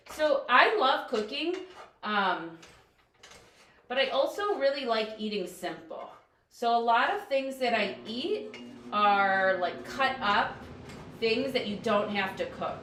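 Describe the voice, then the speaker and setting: Loud chatty woman in echoey kitchen. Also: mid-range voice. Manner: chatty, upbeat, loud teacher voice. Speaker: cooking livestream host and coach. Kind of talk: casual live cooking stream. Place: home kitchen, indoors. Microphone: camera across the kitchen. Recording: echoey kitchen with faint noise.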